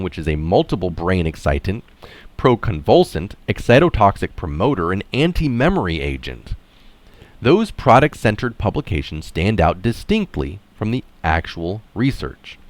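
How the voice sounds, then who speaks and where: A male narrator with a deep voice reads a written piece aloud, his pitch moving with plenty of variation. He shifts between formal reading and informal explanation. The sound is dry, with very little room in it. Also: no room sound. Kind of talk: article read aloud with casual explanatory asides.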